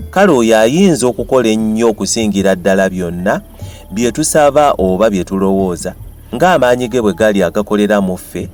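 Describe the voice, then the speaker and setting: Ugandan guy doing a dramatized bible reading, lowish voice, bit of music behind him. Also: a little mellow. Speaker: Bible reader.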